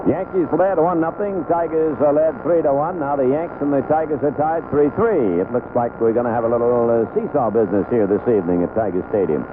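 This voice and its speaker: A man calling baseball play-by-play live on the radio in short, unhurried phrases. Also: mid-range voice. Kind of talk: live radio sports commentary. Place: outdoor ballpark broadcast booth. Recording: tinny, noisy old AM radio broadcast.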